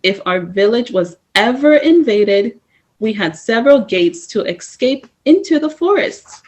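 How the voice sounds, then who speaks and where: A woman reading a first-person story aloud at story time, in a slow, measured way. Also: on the high side, animated, a little mellow. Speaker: storytime reader. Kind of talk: reading a picture book aloud.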